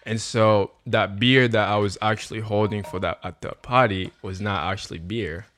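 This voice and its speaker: A man's voice: on the low side, moderate pace, a little hiss or hum. A man in his twenties, guest on a podcast, chatting casually with frequent laughs and "um"s. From Uganda. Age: 20s. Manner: relaxed, laughs often, many ums and likes. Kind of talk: casual chat.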